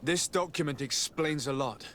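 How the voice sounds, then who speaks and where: A man playing a calm, diplomatic adventurer in a video game cutscene, with a measured, polite, earnest delivery. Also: fairly high pitch, a little hiss or hum, close to an everyday voice. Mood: troubled. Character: calm, diplomatic male adventurer investigating abuses.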